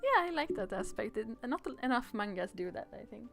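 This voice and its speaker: A woman playing a game on a livestream, chatting casually and in an animated, giggly way. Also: a little high, brisk, vocal fry. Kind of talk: casual playthrough chat, reading game dialogue aloud. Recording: music playing behind the voice. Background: music.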